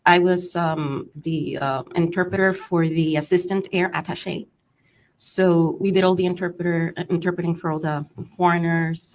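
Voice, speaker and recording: A middle-aged woman from the Miami area, born in Cuba with Spanish as her first language, testifying as a witness in a courtroom with a hesitant manner, listing terms with pauses. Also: on the low side, a little hiss or hum.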